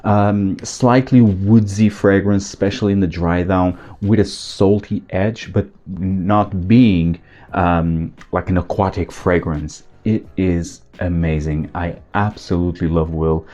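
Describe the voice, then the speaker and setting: A man's voice: low-pitched, steady tone, measured pace, warm tone. A man with a Portuguese accent giving a casual, enthusiastic video review as a channel host.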